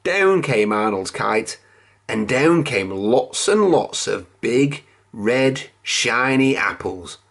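A reader telling a children's picture book aloud in a measured, expressive storytelling manner. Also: mid-range voice.

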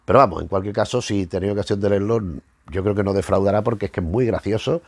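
A man's voice: fairly low pitch, quick pace, no echo. A man in his fifties with a Spanish accent from Spain, chatting casually as a review host, often repeating words as he searches for phrasing. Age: 50s. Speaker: host of a retro comics review show. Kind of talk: casual, rambling monologue to camera. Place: small book-lined room at home, indoors.

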